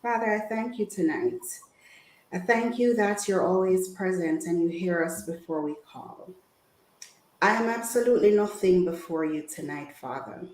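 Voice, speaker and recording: A woman's voice: on the low side, moderate pace, a little mellow. Woman speaking in an echoey room. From Jamaica. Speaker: guest women's health speaker. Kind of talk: informal health talk to an online audience.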